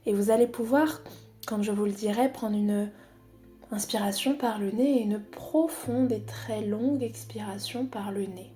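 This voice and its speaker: A woman guiding a body scan meditation in a calm, unhurried, soothing manner with long pauses. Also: mid-range voice, soft background music. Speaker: psychologist guiding a relaxation exercise.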